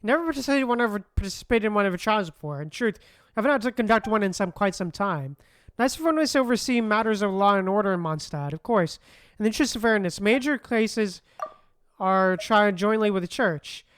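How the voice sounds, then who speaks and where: A woman's voice: medium pitch, animated, fairly quick, dry recording, clean studio sound. A woman streaming a game, casually commenting and reading dialogue aloud, correcting slips as they come.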